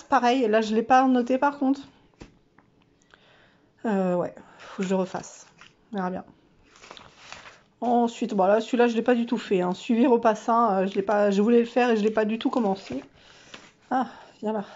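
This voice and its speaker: A woman's voice: fairly high pitch, mellow, muffled recording. A woman vlogger with a stuffy, nasal voice from a cold, chatting casually and self-mockingly through her monthly challenges with lots of fillers.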